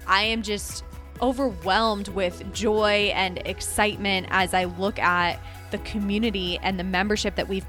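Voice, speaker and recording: A woman's voice: mid-range voice, fairly bright tone. A woman hosting her own podcast, chatting casually, laughing often and checking in with "right?". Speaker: podcast host. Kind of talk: casual solo podcast talk.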